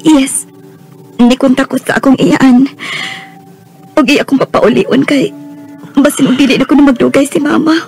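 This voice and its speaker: An actress with a Visayan Filipino accent playing a gentle, tactful young working woman in a radio drama. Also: sits high, fairly even intonation, moderate pace, creaky, pauses often, soft background music. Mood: gentle, apologetic. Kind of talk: Bisaya radio drama acting.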